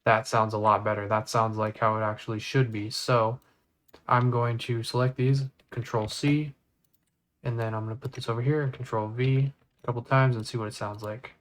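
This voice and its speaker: Casual mid-pitched man walking through software. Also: level tone, moderate pace. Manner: thinking aloud step by step. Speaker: tutorial host. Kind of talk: casual screen-recorded software tutorial.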